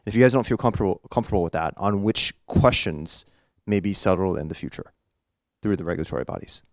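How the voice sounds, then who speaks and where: A man in the audience asking a question, loud-voiced. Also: deep voice. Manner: loud, quick. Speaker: audience member.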